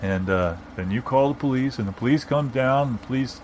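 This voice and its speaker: A man's voice: mid-range voice, close to an everyday voice. A man playing a stubborn, long-winded opinionated fellow. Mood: indignant, rambling. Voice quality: halting, repetitive delivery. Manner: bossy, long-winded, argumentative. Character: stubborn rambling opinionated man arguing against amateur sleuths. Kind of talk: improvised audio comedy. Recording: background noise, informal non-studio room.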